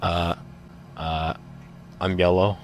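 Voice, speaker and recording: A man's voice: low-pitched, flat delivery, takes it slowly, poor audio. A man voicing a teasing, slightly clueless warrior cat who casually reads out questions in an improvised fan Q&A. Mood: goofy. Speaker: question reader and sidekick. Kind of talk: improvised character Q&A in casual chat.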